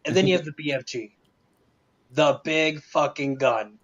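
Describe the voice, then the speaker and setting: Dull-sounding, higher-pitched opinionated man. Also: a little slow, fairly bright tone. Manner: informal, opinionated. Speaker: panelist. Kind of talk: casual movie opinion chat.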